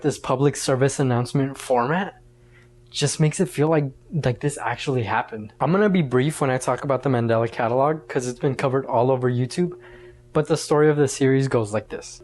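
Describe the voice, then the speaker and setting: A man's voice: mid-range voice, darkish tone. A man hosting a casual video essay, talking in an informal, conversational and slangy way.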